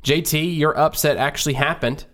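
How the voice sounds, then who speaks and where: A man's voice: fairly high pitch, steady tone, moderate pace, a bit bright. A man co-hosting a casual sports podcast, chatting in an easygoing, laid-back way. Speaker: podcast co-host.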